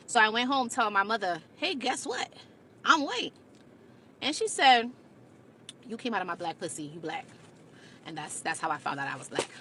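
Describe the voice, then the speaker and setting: A woman's voice: mid-range voice, sharp tone. Blunt woman storyteller, faint background noise. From Caribbean. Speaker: video creator. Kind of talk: candid personal storytelling to camera.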